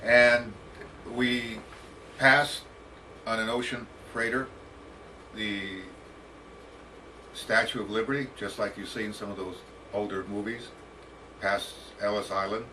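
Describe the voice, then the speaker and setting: American male academic, about 60. Bright, forceful voice, deliberate storytelling, recorded at some distance with faint noise. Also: fairly low pitch, steady tone. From United States, Detroit. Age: around 60. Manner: deliberate, halting, formal phrasing. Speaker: professor, interview guest. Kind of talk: autobiographical storytelling.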